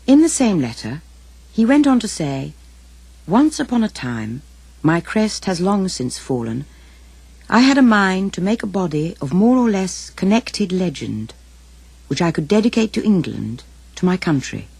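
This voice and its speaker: A woman delivers scripted documentary narration. Her tone is dark and warm, slightly husky, recorded very dry with no room around it. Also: on the low side, very animated, a little slow, no room sound.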